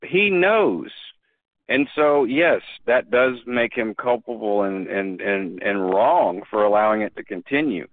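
Low-voiced man on a dry phone line. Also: expressive, a little slow, dry recording. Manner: earnest and emphatic, with frequent restarts. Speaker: podcast guest. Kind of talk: casual conversation.

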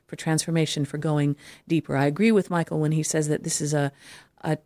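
Female show host, lower register, conversational delivery, very dry recording with no room sound. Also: steady tone, a little fast, dark tone, no echo. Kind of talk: conversational interview hosting.

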